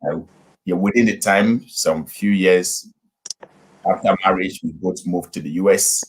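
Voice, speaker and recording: A man's voice: low voice, steady tone, slight background noise, rough recording. A middle-aged man from Nigeria, a pastor, telling his story unhurriedly over a video call with many fillers. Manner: unhurried, with frequent fillers and restarts. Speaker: pastor and guest. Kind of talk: casual interview storytelling.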